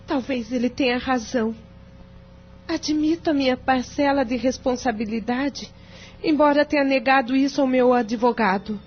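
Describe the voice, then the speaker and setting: An actress plays an anguished, remorseful wife in an audio drama. Her voice is high, and she confesses in formal, emotional phrases. The recording is slightly dull, with music behind her. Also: lightly acted.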